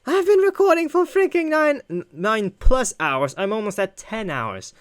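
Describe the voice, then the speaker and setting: A man doing animated let's play commentary, exclaiming, swearing and stretching words for emphasis. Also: high voice, moderate pace, smooth, no room sound, clean studio sound. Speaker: gaming streamer reading a visual novel aloud.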